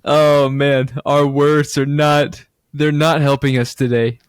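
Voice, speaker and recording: A man's voice: fairly high pitch, flat delivery, slow, smooth, dry recording, studio quality. A man co-hosting a casual podcast, joking, laughing often and recapping a sermon series. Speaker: podcast co-host. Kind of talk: casual chat.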